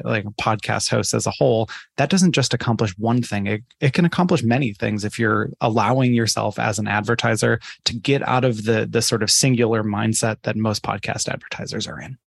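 Man, husky, heavy vocal fry, conversational interview style, emphatic, thinking out loud. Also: mid-range voice, no echo, studio quality. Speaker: podcast advertising expert being interviewed.

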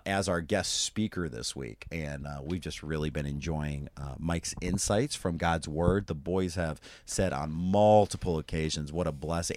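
A man hosting a faith podcast in casual chat, his voice scratchy and worn from yelling, relaxed and laughing easily between fillers. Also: deep voice. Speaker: podcast host. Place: camper trailer.

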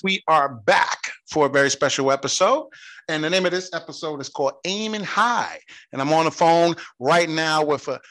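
A man's voice: fairly high pitch, pushed and bright. A man hosting a podcast, interviewing a guest over the phone in an upbeat, encouraging way. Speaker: podcast host.

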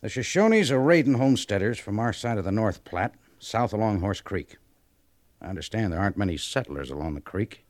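An actor playing a stern commanding major in a radio western, authoritative, clipped and sarcastic. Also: male voice, lowish voice, expressive, a little fast, a little mellow, no room sound, lightly acted. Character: stern, sardonic male army major, commanding officer. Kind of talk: 1950s radio western acting.

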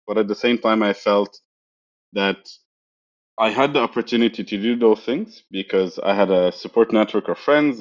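A man's voice: on the low side, highs cut off. A man in his thirties from Egypt, a guest answering interview questions reflectively. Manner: reflective, with many fillers and restarts.

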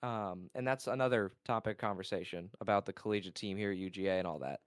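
A young man with a naturally loud, resonant voice tells his story in a relaxed interview. He rambles, gets excited and loses his place. The sound is dry and close. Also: lowish voice, fast talker, clean tone, dry recording. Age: young adult. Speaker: esports caster and college player. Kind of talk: casual interview talk.

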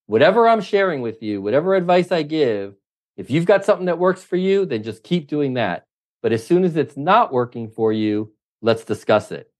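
A man's voice: fairly high pitch, big pitch swings, moderate pace, a little mellow. A middle-aged man, a triathlon coach, answering interview questions in an emphatic, opinionated way, often running through lists. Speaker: triathlon coach, podcast guest.

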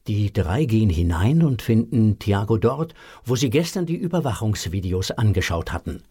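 A man's voice: medium pitch, a little mellow, breathy edge. A man narrating a children's audio drama as a scripted storyteller. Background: music.

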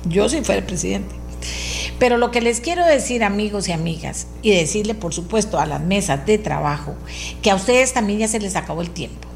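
A woman's voice: mid-range voice, lively intonation, brisk, vocal fry, a little hiss or hum. A woman with a Costa Rican accent and a powerful voice, hosting a talk show with insistent, emphatic political commentary that repeats phrases for stress. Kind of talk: opinionated political commentary addressed to listeners.

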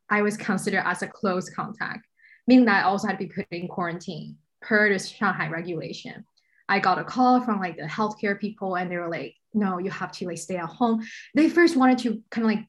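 Young woman from China, podcast guest, eager, lots of fillers. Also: mid-range voice. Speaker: guest, staff writer. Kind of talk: casual podcast conversation.

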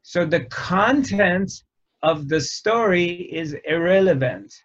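Slow, measured man teaching spirituality. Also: high-pitched, lively intonation, a bit bright. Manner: slow, measured, pausing often between phrases. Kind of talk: reflective spiritual talk. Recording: dull lo-fi recording.

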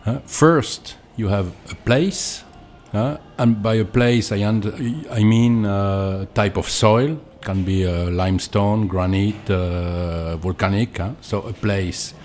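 A man with a French accent, a winemaker being interviewed, explaining things in hesitant, deliberate non-native English. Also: fairly low pitch, a little mellow, a little hiss or hum. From Alsace, France.

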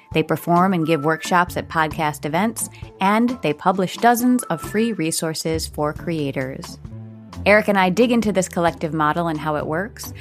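A woman hosting a podcast, reading a scripted introduction and interviewing a guest. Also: fairly low pitch.

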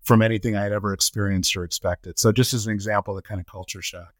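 Man, middle-aged, lower-pitched voice, dry close sound, reflective interview guest, phrase-by-phrase delivery. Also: fairly even intonation, quick pace, no room sound. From United States (Colorado) and Trinidad. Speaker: interview guest, nonprofit chief executive. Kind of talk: reflective personal storytelling in an interview.

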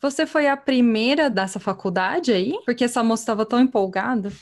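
High-voiced woman, interior São Paulo accent. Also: fairly quick, darkish tone. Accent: rural interior São Paulo Brazilian. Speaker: podcast co-host. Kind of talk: podcast introduction and interviewing.

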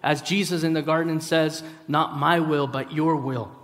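Male guest preacher, 30s, fairly high voice, halting delivery with fillers, reverberant hall. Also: fairly even intonation, a bit bright. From Costa Rica. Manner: halting, many fillers and restarts. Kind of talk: sermon with personal introduction. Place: large hall.

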